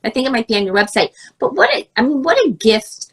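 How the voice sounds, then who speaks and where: A woman's voice: on the high side, expressive, quick pace, breathy edge. Scratchy-voiced woman host with a cold. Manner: warm encouraging backchannels, many fillers. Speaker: podcast host. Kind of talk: casual interview chat.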